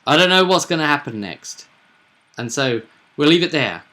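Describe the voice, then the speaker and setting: A man's voice: on the high side, lively intonation, normal speed, sharp tone. A man hosting as game master, chatting casually about a tabletop roleplaying game and often repeating himself with tag questions.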